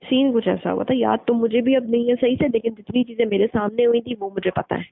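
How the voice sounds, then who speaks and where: A woman's voice: on the high side, quick pace, vocal fry, no room sound. A young woman in casual, blunt conversation over a phone line, retelling events and quoting people. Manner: colloquial, blunt, quotes people as she retells. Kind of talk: casual chat, recounting school-days gossip. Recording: narrow dry phone-call recording.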